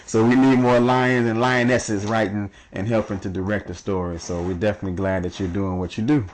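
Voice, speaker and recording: A man hosting a casual interview, relaxed and chatty, who chuckles at his own jokes. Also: on the low side, fairly quick, slight background noise. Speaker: radio show host.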